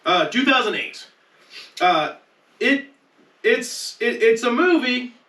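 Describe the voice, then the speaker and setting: A man's voice: extremely high pitch, expressive, takes it slowly, pushed and bright. A man co-hosting a podcast in joking, profane casual chat. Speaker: podcast co-host. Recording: echoey room, distant mic, faint noise.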